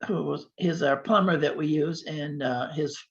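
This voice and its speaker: A woman on a committee who is losing her voice, reporting at a meeting with many fillers and throat-clearing. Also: low voice, fairly even intonation, normal speed. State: hoarse, losing voice. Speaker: committee member. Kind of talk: meeting discussion. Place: public meeting room. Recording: dull lo-fi meeting recording.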